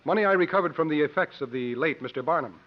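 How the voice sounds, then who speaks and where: An actor playing a roguish, unruffled adventurer with an urbane, dryly formal manner in 1950s radio acting. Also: male voice, on the high side, lively intonation, fast talker, natural voice. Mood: smooth. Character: suave, sardonic, roguish male adventurer, cool under fire. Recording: dull, noisy 1950s radio recording.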